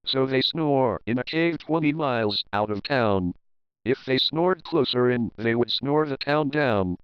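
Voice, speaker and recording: A man's voice: medium pitch, lively intonation, a little slow, dull audio. A man narrating a children's picture book as a readalong, reading rhyming verse expressively and rhythmically. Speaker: storybook narrator.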